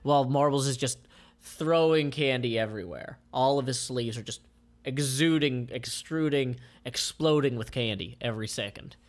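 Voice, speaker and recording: A man informally describing comic panels aloud as their creator, with halting, improvised phrasing. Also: medium pitch.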